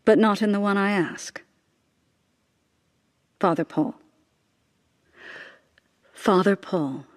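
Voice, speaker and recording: A woman acts a proud mother, desperate for her son, in a radio play. Her medium-pitched voice moves in halting, deliberate phrases that press insistently. The sound is dry, with no room around it. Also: no echo, lightly acted. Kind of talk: radio drama acting.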